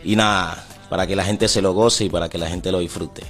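Male, deep-ish low pitch, Colombian Pacific accent, casual interview answers, halting with repeated words, faint background music. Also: fairly even intonation, fairly bright tone. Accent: Colombian Pacific coast. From Timbiquí, Pacific coast of Colombia.

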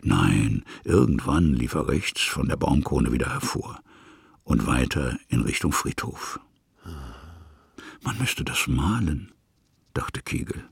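Extremely deep, gravelly male narrator. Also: no room sound. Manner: expressive, short deliberate phrases. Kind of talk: literary storytelling narration.

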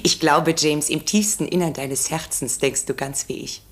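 An actress plays a gossipy, stubborn village sister in a vintage radio play. Her voice is low for a woman, and she talks in a brisk, insistent, knowing manner. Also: close to an everyday voice. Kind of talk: 1950s German radio drama acting.

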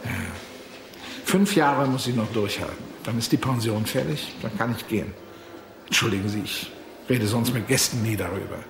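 An actor playing a weary tour guide near retirement in a radio drama, grumbling and confiding. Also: male voice, mid-range voice, normal speed, background noise, close to an everyday voice. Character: weary male tour guide nearing his pension. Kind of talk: German radio drama acting. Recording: roomy, music behind.

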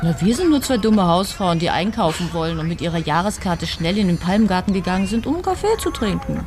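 An actress playing a shrewd, self-doubting widow in a radio crime drama, with a dry, self-deprecating manner. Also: female voice, lowish voice, very animated, quick pace, background noise. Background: clear music.

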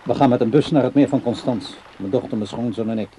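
A tender but stubborn ageing man with a warm, dark voice, played by an actor. He is easily fed up, in the manner of a radio play character. The recording carries faint background noise. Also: mid-range voice, fast, lightly acted, low-quality recording. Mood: placating, evasive. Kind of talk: Dutch radio play acting.

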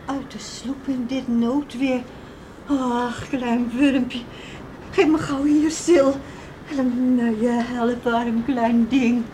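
A high, unhurried woman's voice a tender, fussing mother in a radio play. She is caring and given to soft exclamations, on an old recording with a light layer of noise. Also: fairly even intonation, low-quality recording. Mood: astonished, tender. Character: warm, caring beachcomber's wife and mother. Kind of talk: Dutch radio drama acting.

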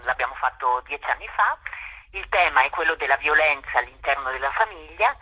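A woman's voice: low-pitched, lively intonation, a little fast, slightly rough, muffled recording. A woman from a theatre company explaining her work as a guest in a phone interview. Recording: telephone connection.

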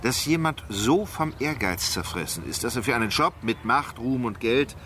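A man's voice: mid-range voice, animated, normal speed, pushed and bright, slight background noise. An actor playing a restless, brooding male writer who needles his friend, in naturalistic radio drama dialogue. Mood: brooding, searching.

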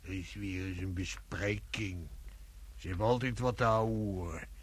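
A man's voice: low voice, fairly even intonation, a little slow, slight background noise. An actor playing a disgruntled, dreamy yet bullying workman in a radio play. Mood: weary grumbling. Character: disgruntled working man hauling a corpse, grumbling, dreamy, bullying.

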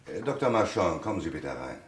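An actor playing a shrewd police commissioner in a German radio crime drama, courteous but relentless, with formal, commanding questioning. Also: male voice, low voice, very animated, quick pace, heavy background noise. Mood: commanding, accusing.

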